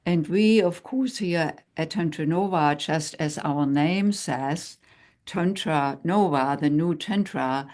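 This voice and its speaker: An older woman with a German accent, co-hosting a tantra workshop in a measured, pausing way. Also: low voice, darkish tone. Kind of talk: casual workshop talk.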